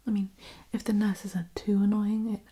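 A woman's voice: medium pitch, flat delivery, normal speed, dark tone, close to an everyday voice. A young woman playing a genteel, blasé vampire in an ASMR roleplay, whispering politely and hesitantly. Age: young adult. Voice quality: breathy. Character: genteel pale female vampire, breezy and blasé. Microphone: close microphone.

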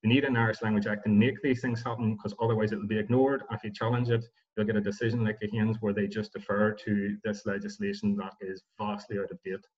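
Fast, husky young man on a call. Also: fairly low pitch, little pitch movement, darkish tone. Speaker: panelist. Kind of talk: argued panel talk. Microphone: laptop webcam mic on a video call. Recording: dull lo-fi video call audio.

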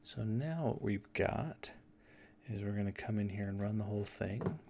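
A man giving an informal screen tutorial, thinking aloud as he explains each step in a casual, halting way. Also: lowish voice, gravelly, mellow. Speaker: software tutorial instructor. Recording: noisy room with keyboard clicks. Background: typing and mouse clicks.

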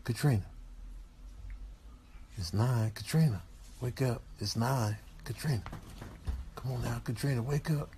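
A man voicing a patient pet dog, gently and insistently nagging in a hushed comic animal voice-over. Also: mid-range voice, unhurried, pauses often, slight background noise, natural voice.

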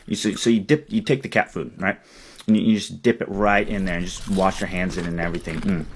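A man voicing a chatty con-artist raccoon in a comic animal dub, with rambling, friendly sales-pitch patter. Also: fairly even intonation, quick pace, slight background noise. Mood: chummy, helpful.

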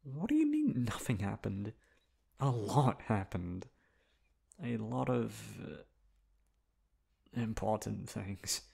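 A man's voice: fairly low pitch, sing-song, vocal fry, warm tone, slightly rough, exaggerated voice. A man acting in an ASMR roleplay as a flustered, dominant young best friend, hesitant at times and blunt at others. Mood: playful, gentle. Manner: hesitant with pauses, turning bossy and blunt. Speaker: ASMR voice actor.

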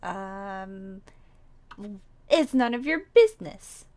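A naive young-sounding female survivor in a zombie game, acted by a woman. Her pitch is average but swings widely, and she is playful and full of simple questions. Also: expressive, slow pace, darkish tone. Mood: pushy, playful. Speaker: roleplay player. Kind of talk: improvised game roleplay.

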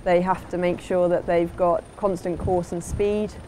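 A woman's voice: lowish voice, level tone, a little slow, dark tone, a little hiss or hum. A woman giving an instructional voice-over as a sailing instructor, in a plain, explanatory way.

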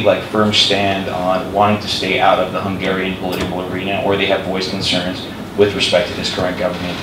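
A man from the United States asking a long, careful question from the audience in a lecture hall, hedging with many fillers. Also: low-pitched. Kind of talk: spontaneous question from the floor. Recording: distant echoey hall audio with hum.

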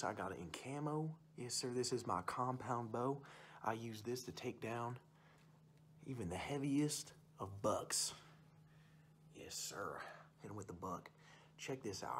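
A man's voice: on the low side, a bit bright, slight background noise. A young man playing a boastful, folksy uncle who rambles about hunting and fishing in an ASMR roleplay. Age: young adult. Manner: folksy, rambling, repeats stock phrases. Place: small room indoors.

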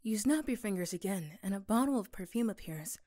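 Mellow-voiced woman narrating. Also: mid-range voice, lively intonation, a little fast. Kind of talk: scripted second-person story narration for ASMR roleplay.

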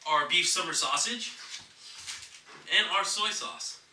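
A young man hosts a casual cooking demonstration in a small kitchen. His voice sits a little high and is picked up from a distance by the camera. The room echoes around him, with clear background noise. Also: takes it slowly. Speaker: chef hosting a cooking show. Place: small home kitchen, indoors. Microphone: camera a few steps away.

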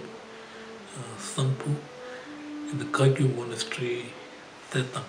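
An older man, a Buddhist teacher, reading a biography aloud haltingly with frequent pauses. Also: medium pitch. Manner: halting, with pauses and throat clearing. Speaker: Buddhist teacher and spiritual director. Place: indoor shrine room, seated at a desk. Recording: echoey room, clear background noise.

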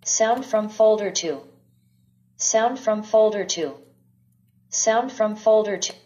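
A woman gives short announcement prompts at an unhurried pace. Her voice is mellow, and it comes as a dull, lo-fi playback from a small speaker. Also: mid-range voice.

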